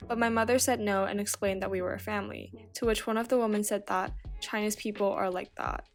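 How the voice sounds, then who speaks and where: A woman reads accounts aloud in an earnest, steady voice. Her pitch is middling, and faint music sits behind her. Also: fairly quick. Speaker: narrator reading submitted stories. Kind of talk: scripted reading.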